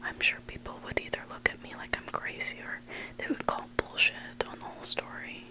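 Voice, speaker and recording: A person reading stories aloud in a breathy, hushed ASMR whisper, close to the mic. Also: a little fast. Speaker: host reading listener-submitted stories. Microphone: close mic, made for headphones.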